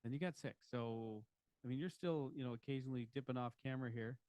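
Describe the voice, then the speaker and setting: A man's voice: mid-range voice, fast, darkish tone, smooth, no room sound. Man, podcast co-host, rambling, jokey, stops and restarts, dry close mic. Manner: rambling, restarts often, joking. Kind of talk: casual chat. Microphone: home podcast mic, video recorded.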